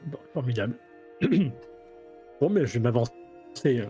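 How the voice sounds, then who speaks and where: A man's voice: medium pitch, very animated, slow pace, mellow, faint music behind. A man playing a tabletop role-playing game, chatting casually and restarting his sentences as he explains his choices. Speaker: player.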